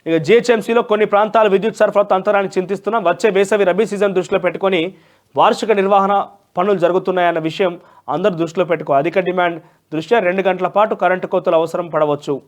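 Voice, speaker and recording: A man's voice: sits high, steady tone, quick pace. A man with a Telangana Telugu accent presenting a newspaper review with opinionated commentary in a conversational, emphatic way. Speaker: news presenter.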